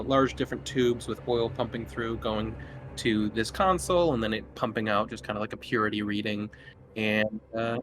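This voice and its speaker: A man's voice: fairly low pitch, a bit bright, slight background noise. A man running a tabletop horror game as game master, casually improvising scene descriptions in a conversational way.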